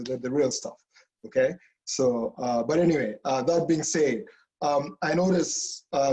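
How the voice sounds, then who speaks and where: A man's voice: mid-range voice, warm tone, rough recording. A man from Kenya, a professor talking casually in an online info session, with restarts and a habit of asking "okay?". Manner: chatty, frequent "okay?" and restarts.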